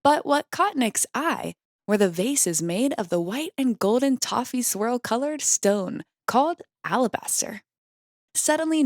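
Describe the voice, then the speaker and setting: A woman's voice: fairly high pitch, no echo, clean studio sound. A woman narrating a children's storybook as a read-aloud. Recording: clearly noisy background.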